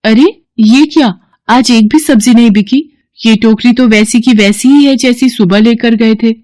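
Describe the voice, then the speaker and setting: Cartoon village wife, voiced by a female narrator: caring, devout, expressive, respectful, lifted above a low natural register, dry sound. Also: measured pace, no room sound, close to an everyday voice. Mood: surprised. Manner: earnest, expressive, respectful to husband.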